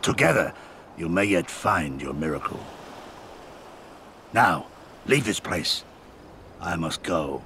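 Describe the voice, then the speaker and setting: An actor playing a stern, fatalistic rebel leader in a video game, speaking in a grave, formal, declamatory way. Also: male voice, low-pitched, lively intonation, takes it slowly, long pauses, pushed and bright, a little hiss or hum, full character voice. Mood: solemn.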